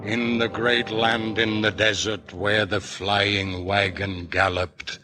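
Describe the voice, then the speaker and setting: An actor narrating an old-time radio Western as a solemn storyteller, in a poetic, declamatory style. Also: male voice, lowish voice, flat delivery, slow. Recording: old radio recording, music underneath.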